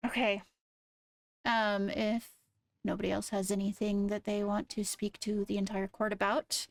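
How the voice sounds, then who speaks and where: A woman playing a composed, courteous court leader in an improvised fantasy game roleplay, speaking in a measured conversational way. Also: mid-range voice, level tone, no echo, clean studio sound, natural voice. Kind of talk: improvised Minecraft roleplay in character.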